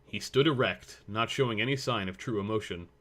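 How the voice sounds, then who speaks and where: A man's voice: on the low side, a little fast, fairly bright tone. A man reading scripted story narration as the narrator of a fan fiction audio drama.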